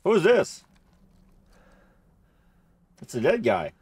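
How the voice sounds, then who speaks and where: A man giving casual, chatty gameplay commentary, thinking aloud and getting excitable. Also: a little high, extremely slow, husky, no echo. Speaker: gamer recording a play-through.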